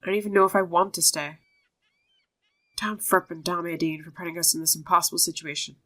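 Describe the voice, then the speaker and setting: A woman's voice: lowish voice, steady tone, brisk, a bit bright. A woman voicing earnest young female riders from a fantasy horse game, expressively reading their dialogue. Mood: troubled, earnest.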